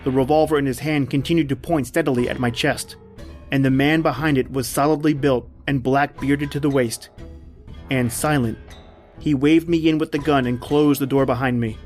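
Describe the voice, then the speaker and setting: A man's voice: mid-range voice, steady tone, normal speed, a little mellow, faint music behind, close to an everyday voice. A man playing a shipwrecked big-game hunter, polite and observant, in earnest first-person radio drama style. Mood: watchful, curious.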